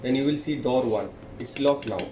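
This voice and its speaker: Man giving halting tutorial, echoey noisy room. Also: medium pitch. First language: not English. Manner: halting, repetitive, step-by-step explaining. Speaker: tutorial presenter, installer. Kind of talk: hands-on technical demonstration.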